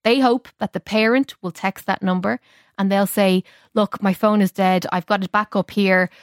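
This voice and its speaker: A woman's voice: mid-range voice, fairly even intonation, moderate pace, no echo, studio quality. A woman with an Irish accent speaking as a technology correspondent, explaining things in an informal, conversational radio style.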